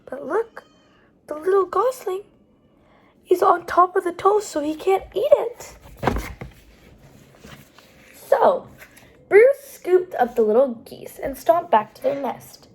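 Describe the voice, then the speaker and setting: A woman reading picture books aloud to young children as a storytime host, animated and pausing to explain things to the listeners. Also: sits high, creaky, a little hiss or hum. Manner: animated, explains pictures and words as she goes.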